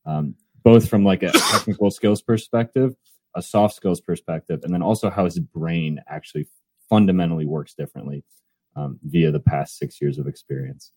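Low mellow-voiced male podcast host. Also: normal speed, husky, no echo. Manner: easygoing, teasing. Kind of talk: casual podcast intro and questions.

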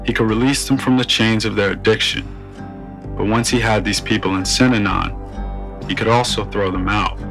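A man with a low, gravelly voice reads a quotation aloud. His manner is reflective and questioning. Soft music plays faintly behind him. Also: fairly even intonation, normal speed. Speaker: reader voicing a quoted member. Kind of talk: reading a quotation.

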